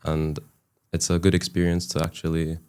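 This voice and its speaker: A man's voice: very deep voice, monotone, moderate pace, a little mellow, clean tone. A young man from Turkey, a student guest, chatting casually and hesitantly with many restarts and fillers. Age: young adult. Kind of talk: casual chat.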